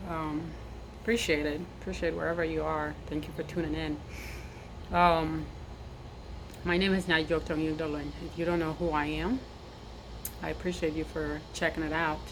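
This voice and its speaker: A woman in her thirties from South Sudan, a nurse, speaking earnestly to camera outdoors in the wind, measured and repeating phrases for emphasis. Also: low voice, level tone. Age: 30s. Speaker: nurse and army veteran sharing her story. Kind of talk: personal address to camera. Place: outdoors, windy. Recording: noisy outdoor recording with wind.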